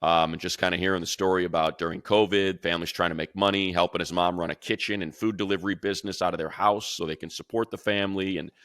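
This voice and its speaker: A man with a very low, bright and forceful voice breaks down football picks for a podcast. He rambles excitedly and restarts his sentences often. The recording is dry and close. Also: dry recording. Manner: rapid, rambling, excitable, full of fillers and restarts. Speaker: radio play-by-play announcer and co-host. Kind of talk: casual football podcast analysis.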